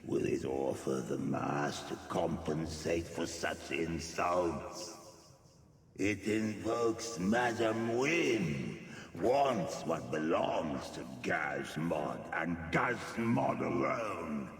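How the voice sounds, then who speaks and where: An actor playing a haughty male creature collector who speaks of himself as plural, in a deep gravelly voice with slow, imperious delivery. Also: lively intonation, a little hiss or hum, exaggerated voice. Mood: haughty, disdainful. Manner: slow, imperious, refers to others as "it". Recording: echoing hall reverb with game ambience.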